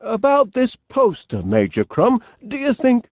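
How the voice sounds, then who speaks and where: A middle-aged, eccentric inventor from a cartoon game with a Northern English accent. His delivery swoops up and down at an unhurried pace. He is chirpy and quaintly polite, with a bit of dithering, recorded close and dry. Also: extremely high pitch, huge pitch swings, no room sound, full character voice. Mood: mildly puzzled. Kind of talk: cartoon video game voice acting.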